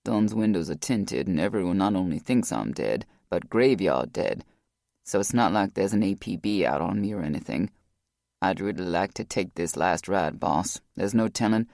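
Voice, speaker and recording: A woman voicing a loyal, tough man who speaks low, tender and resigned, in an audiobook. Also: lively intonation, moderate pace, gravelly, darkish tone, no echo, studio quality, heavily acted. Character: grave-voiced man, loyal tough ex-criminal.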